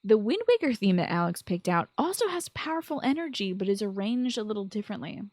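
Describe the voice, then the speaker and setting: A woman's voice: mid-range voice, sing-song, quick pace, darkish tone, dry recording. Woman podcast host, close dry recording. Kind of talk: scripted solo podcast commentary on video games.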